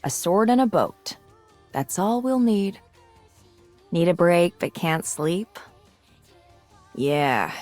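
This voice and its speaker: A woman voicing a mature, resolute and idealistic swordswoman in a video game, composed and earnest in her measured delivery. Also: lowish voice, full character voice. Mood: gentle, easygoing. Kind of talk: scripted video game character lines.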